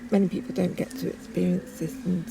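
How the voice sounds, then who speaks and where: A woman speaking reflectively and unscripted in a documentary, thoughtful and halting, with frequent pauses. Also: on the low side, flat delivery, gravelly, noisy background. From northern England. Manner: halting, pausing often, thoughtful. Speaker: poet.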